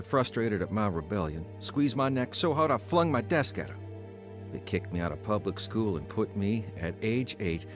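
Troubled man played by an actor, low voice, earnest confessional storytelling, audio drama narration, faint music behind. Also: close to an everyday voice. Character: troubled man earnestly recalling his unhappy childhood.

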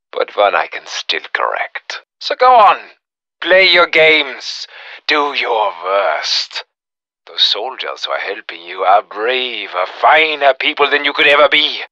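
A menacing German officer from a video game, speaks with a German accent in a hard, intensely forceful voice. He is fanatical, cruel and theatrical, issuing threats and orders with deliberate contempt. The sound is dry and close, with a slightly dull top end. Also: on the low side, no echo, exaggerated voice. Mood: contemptuous, menacing. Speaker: villain character in a video game. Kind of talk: video game villain voice acting, menacing monologue.

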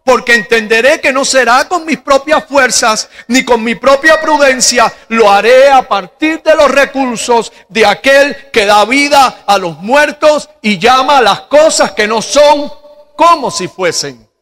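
A middle-aged Cuban pastor preaching in a very high, bright voice at a slow, measured pace. He talks into a handheld mic and leans on rhetorical questions. The large church hall adds a long reverb behind him. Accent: Cuban Spanish. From Cuba. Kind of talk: sermon.

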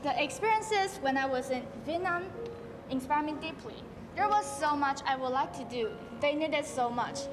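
A teenage girl plays an idealistic young woman doctor who explains things earnestly, with a Taiwanese accent. Her voice sits high. It echoes across an auditorium with music playing behind. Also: lively intonation, moderate pace. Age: teens. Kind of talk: school stage play. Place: large school auditorium stage.

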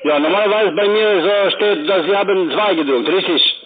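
A man with a foreign accent, not a native German speaker, working as a call centre agent and speaking in halting, formal phrases. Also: sits high. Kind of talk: phone sales conversation. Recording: tinny phone line, busy chatter behind. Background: crowded call centre chatter.

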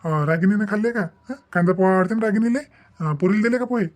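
A man's voice: high-pitched, quick pace, lightly acted. A man with a Vidarbha Marathi accent playing a hurt, sentimental middle-aged father in a cartoon family drama, grumbling plaintively. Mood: concerned.

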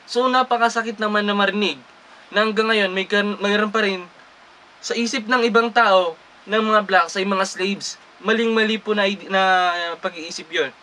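A man's voice: sits high, bright, forceful tone. A young man with a Filipino accent talks earnestly to the camera in a small room, switching between Tagalog and English and restarting words as he reads English passages. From Philippines. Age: young adult. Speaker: vlogger. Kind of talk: casual talk to camera, mixing Tagalog and English.

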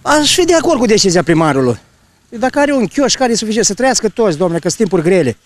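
High-pitched older man, plain-spoken. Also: sing-song. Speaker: passer-by interviewee. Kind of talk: casual street interview answers. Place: outdoors on a snowy street. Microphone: reporter's handheld microphone.

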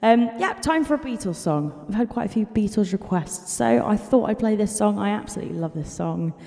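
A woman's voice: medium pitch, a little fast, gravelly, big-room sound. A young woman, chatting casually into a stand mic in a small bedroom. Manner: chatty and relaxed, sings with piano. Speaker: singer-songwriter YouTuber. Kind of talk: casual chat and singing at the piano. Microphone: studio mic on a stand. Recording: loud piano playing behind.

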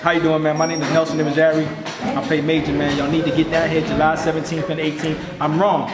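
A man's voice: on the high side, completely flat delivery, quick pace. A man giving a casual, off-the-cuff promo as a stage actor in a hall. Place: large hall indoors. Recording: echoey hall, loud crowd chatter.

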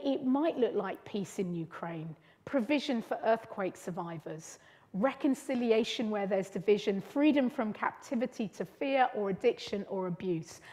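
A middle-aged woman preaching from a lectern in a church. Her voice sits a little high, and she teaches in an earnest, gentle way with measured pauses. The church reverb hangs on after her words. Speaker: preacher leading a church service. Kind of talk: sermon. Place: church hall.

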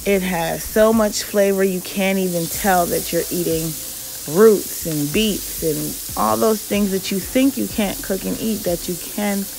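A woman giving an unhurried, step-by-step cooking narration in a home kitchen, in a homely, instructional way. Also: fairly low pitch, gravelly, darkish tone, slight background noise, rough recording. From Jamaican parents. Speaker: cooking vlogger. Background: background music.

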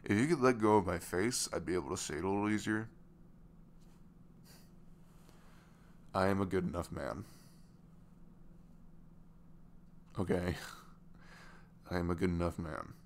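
Deep, gravelly single dad, acted by a man. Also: a little hiss or hum. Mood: flustered, reluctant. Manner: hesitant, soft-spoken, halting. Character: sweet, wounded single dad, bashful romantic lead. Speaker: ASMR roleplay voice actor. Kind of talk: intimate ASMR audio roleplay, conversational.

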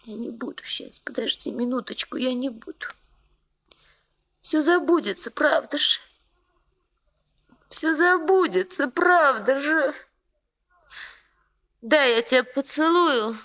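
A heartsick young woman in a radio drama. She speaks very slowly in a slightly high voice, tender and pleading, repeating her phrases. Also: expressive, a bit bright, heavily acted. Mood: aching, tender. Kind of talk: Soviet radio play acting.

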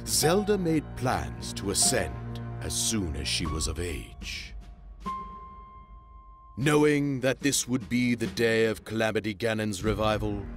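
A man's voice: on the low side, animated, takes it slowly, music in the background. A man reading solemn scripted narration for a fantasy story.

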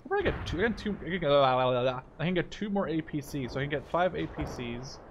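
A man in his twenties gives casual commentary on a game, thinking out loud as he goes. His voice sits among clearly audible gunfire, artillery and vehicle sounds. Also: on the high side, big pitch swings, brisk, dull audio, noisy background. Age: 20s. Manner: thinking aloud. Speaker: gaming video host. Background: strategy game battle sounds, artillery, vehicles.

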